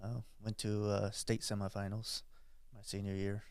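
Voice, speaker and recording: Low gravelly plainspoken man in his forties. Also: level tone, normal speed, a little mellow, no echo. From South Texas. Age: 40s. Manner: plainspoken, hesitant, many fillers. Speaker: guest veteran. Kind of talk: casual interview.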